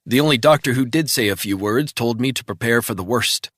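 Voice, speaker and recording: A man's voice: mid-range voice, brisk, a bit bright, no room sound, voice pushed up, close to an everyday voice. A man voicing an anxious young adult daughter calling with urgent news, in a dry audiobook reading. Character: distressed young woman, worried daughter.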